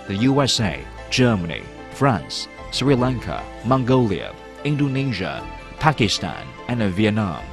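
Formal male voice-over over music. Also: fairly low pitch. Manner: formal, measured. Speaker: narrator. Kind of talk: scripted promotional narration. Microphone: studio voice-over.

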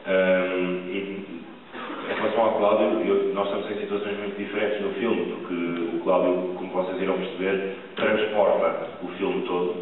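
A man's voice: sits low, little pitch movement, normal speed, a crowd in the background. A young man from Porto, an actor, earnestly telling a theatre audience about his role, with frequent hesitations. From Porto, Portugal. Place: large theatre stage. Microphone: far camera, room sound. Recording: distant, echoey, tinny hall recording.

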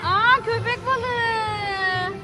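Very high-pitched woman vlogging over music. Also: steady tone, drawn-out words. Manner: exclaiming, calling out sights in short phrases. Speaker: host of a children's family vlog. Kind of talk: excited vlog commentary to a child and viewers. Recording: loud background music and crowd outdoors. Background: music and crowd noise at an amusement boat ride.

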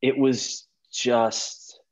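A middle-aged American man chatting casually as an interview guest over a video call, informal and halting, often repeating words. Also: medium pitch, little pitch movement, poor audio. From California, United States. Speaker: guest, stage performer. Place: small plain room indoors, video call.

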